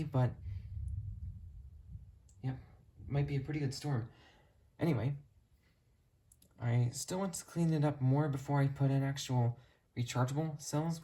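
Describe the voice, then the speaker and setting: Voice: mid-range voice, mellow. A hobbyist narrating a casual show-and-tell video, explaining things in a detailed, matter-of-fact way.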